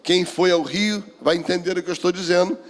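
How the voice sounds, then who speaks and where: A Brazilian man in his sixties speaks as a pastor sharing personal testimony. His voice is quite high for a man, with a deliberate delivery that repeats phrases for weight. The sound carries the long reverb of a large hall. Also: fairly even intonation. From Rio de Janeiro, Brazil. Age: 60s. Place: church hall, speaking to a seminar.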